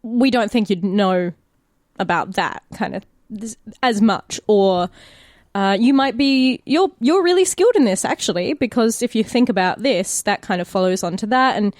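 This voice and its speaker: A woman's voice: mid-range voice, expressive, moderate pace, a little mellow, no room sound, clean studio sound. A woman with an Australian accent chatting casually and candidly as an actor on a podcast, swearing freely. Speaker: podcast cast member, actor. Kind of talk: casual chat.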